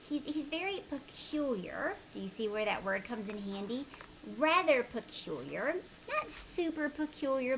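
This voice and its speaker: A woman teacher doing a children's read-aloud, expressive and conversational, often putting questions to her listeners. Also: on the high side, slight background noise, rough recording.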